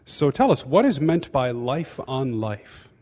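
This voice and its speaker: A man's voice: medium pitch, sing-song, a little slow, dark tone. Moderator guy asking a panel question, bit hesitant, off mic, hall echo. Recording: hall reverb, distant mic.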